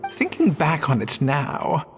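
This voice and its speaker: A concerned male restaurant worker in a video game. His reflective voice sits fairly high, in long reverb with faint music. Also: huge pitch swings, takes it slowly, exaggerated voice.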